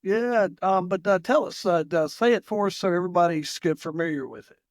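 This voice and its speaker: Rambling Southwest Virginia podcast host. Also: male voice, high voice, brisk, no room sound, clean studio sound. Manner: rambling, many fillers and restarts. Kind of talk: conversational interview.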